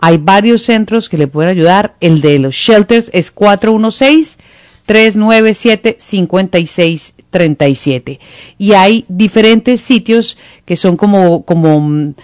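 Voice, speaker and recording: A host interviewing a guest in a conversational way and slowly dictating phone numbers for listeners. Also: mid-range voice, darkish tone, no echo, clean studio sound.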